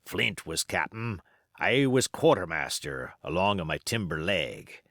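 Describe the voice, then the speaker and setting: Man performing a wily pirate cook, fifties, low pitch, swooping intonation, salty, boastful, storybook villain. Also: lively intonation, a little slow, fairly bright tone, dry recording, clean studio sound, full character voice. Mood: boastful, reminiscing. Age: 50s. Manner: salty, rambling, folksy boasting. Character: wily fifty-year-old one-legged pirate sea cook, boastful and flattering.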